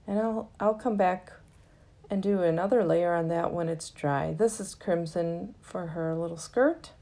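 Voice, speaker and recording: A woman giving a casual, gently explanatory tutorial narration in an unhurried way, as the host of a craft lesson. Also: lowish voice.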